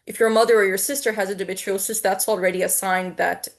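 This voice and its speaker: A young woman from Egypt who is moderating an online panel. She has a medium-pitched voice and a relaxed, conversational delivery. Her speech carries small hesitations as she puts her questions together. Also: fast talker.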